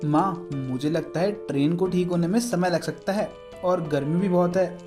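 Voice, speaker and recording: Woman doing caring young son in a cartoon family story, lowish voice, music behind. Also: moderate pace, natural voice. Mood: reassuring. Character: considerate young adult son looking after his family. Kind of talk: animated moral story voice acting.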